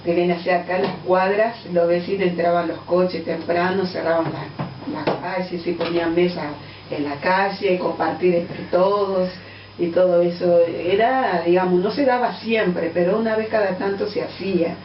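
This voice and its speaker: A middle-aged woman from Angola with a Portuguese-tinged accent, a guest answering interview questions thoughtfully, with many pauses and fillers. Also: on the low side, fairly even intonation, a little hiss or hum. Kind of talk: radio interview. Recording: distant, roomy, dull lo-fi radio sound.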